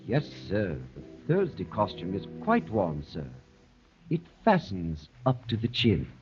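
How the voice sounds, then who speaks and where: A man's voice: lowish voice, wildly swooping pitch, a little slow. A man playing a polite, unflappable valet, formal and punctilious, in a 1930s radio drama. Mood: deferential. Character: deferential, unflappable male valet. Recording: dull 1930s radio recording, faint music.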